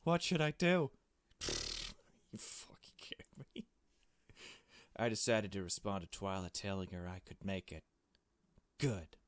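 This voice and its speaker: A man's voice: deep voice, very animated, a little slow, pauses often, background noise. A man doing a let's play, reading a visual novel aloud and adding casual, blunt asides. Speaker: gaming video host.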